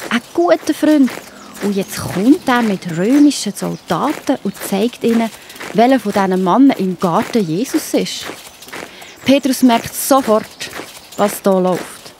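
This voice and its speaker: A woman with a Swiss German accent narrating a children's Bible story, addressing young listeners directly in an expressive storytelling manner. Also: medium pitch, slight background noise.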